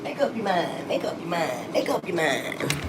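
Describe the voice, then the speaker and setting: A woman in her forties talking live to her viewers from outside on a phone, with a low voice and faint noise around her. She is blunt and repetitive, swearing freely and laughing often. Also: sing-song, normal speed. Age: 40s. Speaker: livestreamer. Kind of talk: casual live-stream chat with viewers. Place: outdoors.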